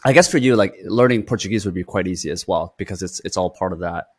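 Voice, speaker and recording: A man's voice: deep voice, fast, breathy edge. A young man hosting a podcast interview, asking long rambling questions. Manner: rambling questions with fillers and restarts. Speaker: podcast host.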